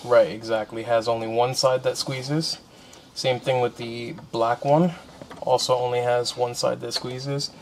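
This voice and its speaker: A man's voice: medium pitch, level tone, measured pace. A man helping out on a car vlog, chatting casually with slangy, informal wording as he works. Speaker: helper in a car install vlog. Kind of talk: casual chat while working. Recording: noticeable background noise, ambience.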